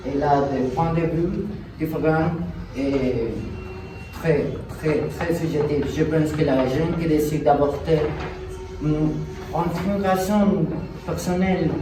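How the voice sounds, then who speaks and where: A man playing a thoughtful, polite passer-by in an amateur street interview sketch, very halting and repeating words. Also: a little high. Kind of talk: amateur classroom dramatisation of TV news. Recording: very echoey room, distant mic, loud noise.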